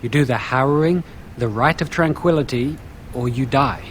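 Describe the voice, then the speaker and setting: An actor playing a friendly but anxious and insecure young apprentice mage in a video game, earnest and worried. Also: male voice, mid-range voice, moderate pace, natural voice. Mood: resigned, uneasy. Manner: earnest, worried, slightly wounded. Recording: game dialogue over faint ambient noise.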